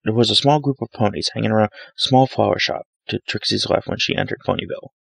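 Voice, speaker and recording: A man's voice: fast talker, gravelly, husky, dry recording. A man reading a fan fiction story aloud as a dramatic scripted narration. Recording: low-grade home microphone, music bed. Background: soft dramatic background music.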